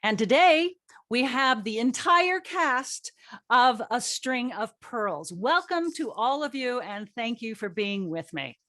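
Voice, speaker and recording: Lady moderator, voice a bit high, reading intro stuff theatrically w/ jokey asides at her own expense. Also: very animated, slow, fairly bright tone. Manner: playful, self-deprecating, theatrical emphasis. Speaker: moderator of a cast panel. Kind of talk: scripted introduction with joking asides.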